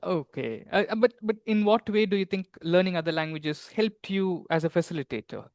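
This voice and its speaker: Hesitant man with a dry, higher voice. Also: fairly quick, a little mellow, dry recording. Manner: hesitant, with repeated words and restarts. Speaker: interviewer, English teacher. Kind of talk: interview questions.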